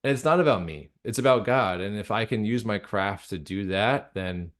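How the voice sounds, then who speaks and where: A man with a fairly low voice talks as a guest in a casual interview. He is earnest and reflective, feeling his way through sentences with halting restarts and a conversational looseness. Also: a bit bright. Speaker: guest, audio drama creator and director.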